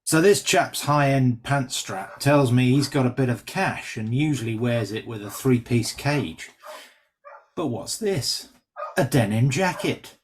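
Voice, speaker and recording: A man playing a brash, quick-witted stand-up comedian with sardonic, teasing banter in a script reading. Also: medium pitch, a little hiss or hum, close to an everyday voice.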